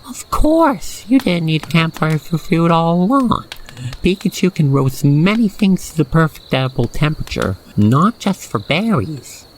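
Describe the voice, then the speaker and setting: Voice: mid-range voice, big pitch swings, a little slow. A storyteller narrates an ASMR roleplay directly to the listener with playful, wordy storybook phrasing. The recording has faint noise with crickets and insects behind the voice. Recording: faint background noise, cricket night ambience.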